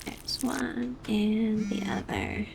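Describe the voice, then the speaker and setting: A woman with a soft, high voice talking quietly through a gameplay video for sleep, slipping between a hush and a whisper. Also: very animated, slow, creaky, background noise. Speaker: gamer. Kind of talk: soft-spoken ASMR gameplay.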